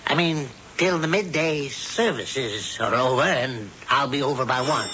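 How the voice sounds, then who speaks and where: Homespun devil-worshipping blacksmith, bright edgy voice, old muffled radio broadcast. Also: male voice, medium pitch, a little slow. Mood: folksy. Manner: homespun and chatty, slyly sinister. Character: folksy blacksmith warlock husband. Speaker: Jason the blacksmith. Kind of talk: 1970s radio drama acting. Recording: dull old radio broadcast with faint hiss.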